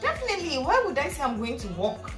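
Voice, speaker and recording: A woman's voice: high-pitched, fast, faint music behind. A young woman from Ghana, a guest, chatting playfully in a living room. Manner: playful, animated. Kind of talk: casual chat.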